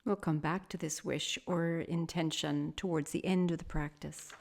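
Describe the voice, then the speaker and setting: A woman teaching guided sleep meditation, speaking softly and soothingly in measured phrases with long pauses between them. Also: low-pitched, fairly even intonation, gravelly. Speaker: yoga nidra meditation teacher.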